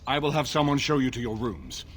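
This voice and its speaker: Actor as a stately, animated noble lord. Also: male voice, mid-range voice, brisk, slight background noise, close to an everyday voice. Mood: stern, courteous. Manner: stately, formal, commanding. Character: commanding, courteous older male noble lord. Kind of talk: fantasy video game dialogue.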